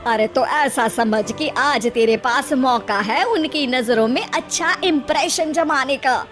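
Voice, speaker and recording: A woman voicing a middle-aged mother-in-law in an animated story, bossy and teasing yet fond. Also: sits high, slow, faint music behind. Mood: coaxing, bossy. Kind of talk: animated Hindi moral story voice acting.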